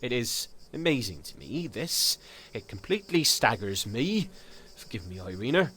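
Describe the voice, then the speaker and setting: A man's voice: medium pitch, very animated, moderate pace, sharp tone, a little hiss or hum. A man playing a hot-tempered, exasperated estate manager in an audio drama, blustering and emphatic. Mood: strained politeness.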